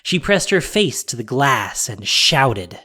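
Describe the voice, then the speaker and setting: A male narrator reads scripted fantasy fiction with dramatic flair. His voice sits in a middle register and moves widely in pitch, following the action. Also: sing-song, slow, pushed and bright.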